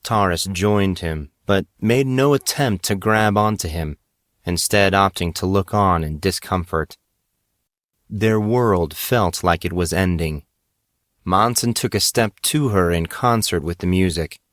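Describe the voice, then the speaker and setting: A man reading scripted fiction as narrator, in a measured, dramatic storytelling manner. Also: low-pitched, a bit bright, no echo, studio quality.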